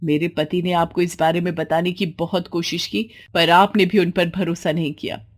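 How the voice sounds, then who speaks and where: A woman's voice: lowish voice, flat delivery, normal speed, lightly acted. A woman acting a bitter, defiant village widow. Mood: indignant. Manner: pointed, emotional, dramatic delivery. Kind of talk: dramatic story dialogue.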